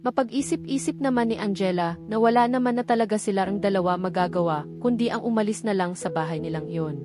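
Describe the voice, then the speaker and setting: A woman's voice: medium pitch, quick pace. A woman with a Filipino accent reads a scripted romance story as an audiobook narrator. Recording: background music under voice. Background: music.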